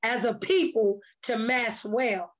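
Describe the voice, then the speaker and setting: A woman's voice: on the high side, fairly even intonation, slow. A woman talking in an earnest, motivational way, emphatic and repetitive as she exhorts the listener. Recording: dull, lo-fi audio.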